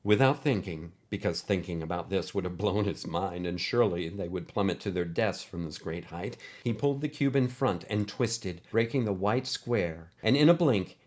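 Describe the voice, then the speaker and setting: A man's voice: deep voice, darkish tone. A man reading a scripted adventure story as its narrator.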